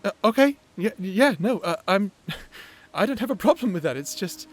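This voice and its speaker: A man's voice: high voice, very animated, moderate pace. A man playing a shy, flustered young man in love, stammering and hesitant in a dramatic fantasy audio roleplay. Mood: nervous, confessing.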